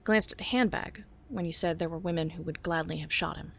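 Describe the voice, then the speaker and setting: A woman voicing a composed female private eye from a noir radio-style drama, her voice sitting on the low side. She talks crisply and dryly, with a deadpan edge. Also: a little fast. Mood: cool. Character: sharp-eyed woman private detective, dry and composed. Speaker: detective and narrator. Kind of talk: noir audio drama acting.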